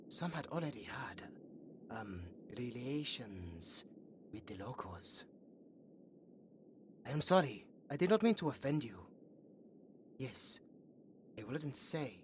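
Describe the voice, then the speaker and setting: A woman playing a young, earnest and chatty elven guard in a fantasy audio roleplay, musing aloud in a soft, hesitant way. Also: a bass voice, a little hiss or hum, exaggerated voice.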